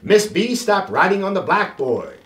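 A middle-aged man reads a children's story in a high voice that swings widely up and down. His delivery is theatrical and deliberate, and the room adds a clear echo. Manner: expressive, theatrical, deliberate phrasing. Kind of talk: animated read-aloud for children. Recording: roomy, echoing sound.

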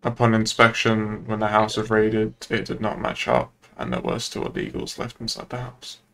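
A man's voice: fairly low pitch, lively intonation, moderate pace, vocal fry, fairly bright tone. A man speaking formally and procedurally in improvised police roleplay dialogue. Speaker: roleplay player as police officer. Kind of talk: improvised in-character game dialogue.

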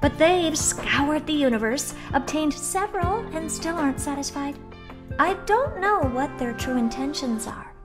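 A woman's voice: high voice, unhurried, music in the background. A woman playing a haughty, blunt young female genius in a video game, flippant and condescending. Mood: aloof, matter-of-fact. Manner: flippant, condescending, theatrically expressive. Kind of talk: video game character dialogue.

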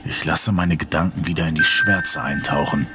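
A man's voice: a bass voice, steady tone, moderate pace. A man narrating a horror audio drama as a sleepless, brooding character, in a moody, dramatic way. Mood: restless, irritated. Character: sleepless, brooding man haunted by a mysterious hole.

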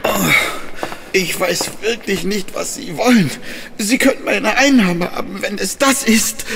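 An actor playing a courteous, shaken violin repairer who speaks politely and formally in a German audio drama. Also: male voice, high-pitched, measured pace, gravelly, fairly bright tone, a little hiss or hum, heavily acted. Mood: frightened, pleading.

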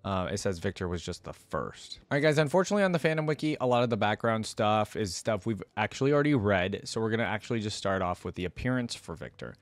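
A man's voice: on the low side, animated, fast, few pauses, dry recording. A man in his thirties giving casual reaction commentary, thinking aloud and hedging as he talks into a close desk microphone. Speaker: reaction video host. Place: small indoor room at a desk.

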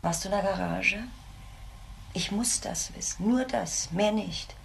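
An actress playing a determined, protective social worker in a radio crime drama, speaking urgently and insistently. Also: female voice, medium pitch, a little slow, gravelly. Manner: urgent, insistent, repeating key phrases. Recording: noisy radio drama recording with ambience.

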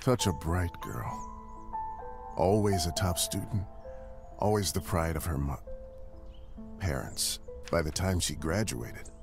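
A middle-aged uncle mourning his beloved niece in a game drama. The voice is very low, with measured, solemn oratory full of tender pride. Music plays behind the speech. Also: creaky, heavily acted. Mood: fond, proud. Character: grieving proud uncle giving a niece's eulogy.

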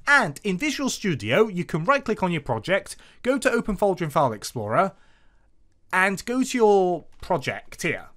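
A tutorial host with a very animated, swinging intonation explains things step by step. The delivery is scripted and instructional, moving methodically through a programming lesson as a voice-over. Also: medium pitch. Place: voice-over to a screen recording.

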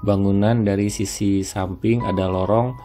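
A man's voice: on the low side, level tone, normal speed, dark tone. A man narrating a walk-through house tour, explaining each step as he presents the property. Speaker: real estate agent presenting a house tour. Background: plucked guitar music.

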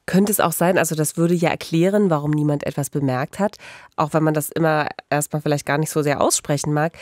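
A woman's voice: low-pitched, darkish tone, no room sound, studio quality. A woman hosting a true-crime podcast, talking in an empathetic and personal conversational way. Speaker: podcast host.

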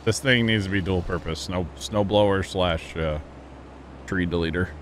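A relaxed man with a low voice hosts a gaming livestream from his home setup. He chats loosely and laughs often, with a faint hiss under the sound. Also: normal speed. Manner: laid-back, chatty, laughs often. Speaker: livestream host. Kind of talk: casual gaming stream chat.

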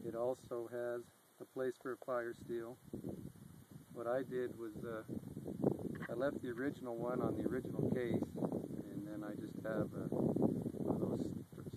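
A man in his forties talks through a piece of outdoor gear in a loose, rambling way, filling pauses with ums and uhs. He is sitting outside in open country, picked up by a camera a few feet off. Loud wind and outdoor noise sit under his voice. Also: mid-range voice. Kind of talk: casual gear review. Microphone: camera on a tripod a few feet away. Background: steady outdoor noise.

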